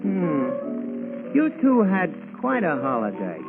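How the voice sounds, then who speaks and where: An American actor playing a simple, good-natured bartender pal in a 1940s radio drama, slangy, ungrammatical and bumbling. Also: male voice, sits high, wildly swooping pitch, takes it slowly, exaggerated voice. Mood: folksy, curious. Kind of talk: 1940s radio comic character acting. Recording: tinny 1940s radio broadcast, music.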